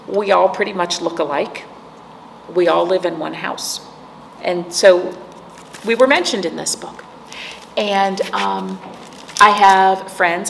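An American woman in her forties with a creaky voice, a storytime host explaining things to young children in simple, teacherly words and questions. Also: lowish voice. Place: indoor room, seated. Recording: echoey room with background noise.

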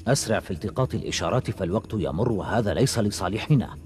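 Cartoon hero warrior, male actor: calm and earnest, low register, quick delivery. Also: slight background noise, close to an everyday voice. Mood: serious. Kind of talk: animated action series dub.

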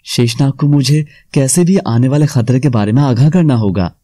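A man's voice: mid-range voice, normal speed, warm tone, no echo, clean studio sound, natural voice. A man acting young male characters of a cartoon serpent fairy tale. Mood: urgent, earnest.

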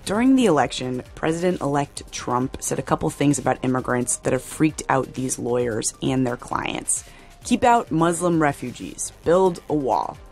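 A woman reporter narrating a radio documentary story in a measured, plain-spoken way. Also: very deep voice, lively intonation, a little mellow, soft background music.

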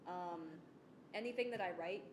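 A woman's voice: moderate pace, roomy sound. A young woman talking casually as a podcast creator presenting their own series, at a desktop microphone. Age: young adult. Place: indoor stage, dark backdrop. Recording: noisy room with low rumble.

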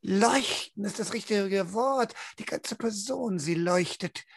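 A male game master narrates a tabletop story slowly and evocatively. He repeats phrases for dramatic weight. The sound is dry, with little room in it. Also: high-pitched, creaky, slightly rough, no room sound. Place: small room at home.

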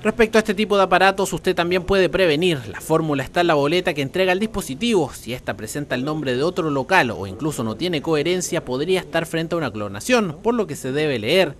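A man's voice: a little high, expressive, quick pace, few pauses. A man with a Chilean accent narrating a scripted television news report.

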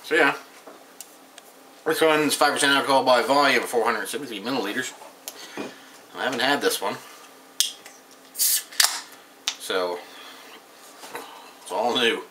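A man's voice: mid-range voice, measured pace, pauses often, background noise. A man in his thirties giving a casual, rambling home beer review, seated at a table and recorded on the camera's mic. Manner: rambling, offhand, reads label aloud. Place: small room at home, indoors.

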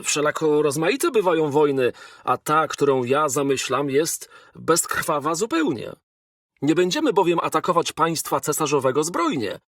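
A man voicing a male robot inventor who speaks to a king in a measured, formal, earnest way. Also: fairly high pitch, very animated, fairly bright tone, dry recording, far from the mic. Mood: persuasive.

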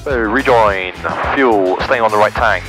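A young man flying a small plane, calmly talking through his checklists over a headset mic. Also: fairly low pitch, a little slow, music in the background. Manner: calm, methodical checklist talk. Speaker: pilot. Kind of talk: flight vlog commentary. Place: small aircraft cockpit. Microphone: headset boom mic.

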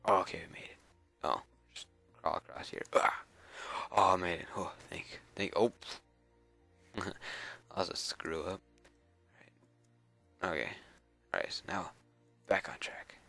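A young man with a cold and a raspy, scratchy voice, mumbling casual commentary to himself while playing a game. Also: low-pitched, measured pace, pauses often, pushed and bright, soft background music. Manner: mumbling, self-talk with frequent fillers and repeats. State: hoarse with a cold. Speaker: gameplay video creator.

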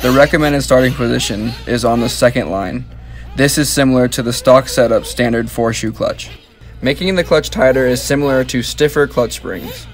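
A young man giving a clear, steady, scripted product tutorial as a voice-over. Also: mid-range voice, moderate pace, soft background music. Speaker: product demonstrator.

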